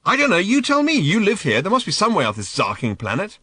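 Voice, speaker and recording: Brief: curt, self-important alien guy from a male reader, lively, urgent delivery. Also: mid-range voice, a little fast, no echo, studio quality, pitched above the performer's own voice. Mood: impatient. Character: blunt, impatient alien man, self-important.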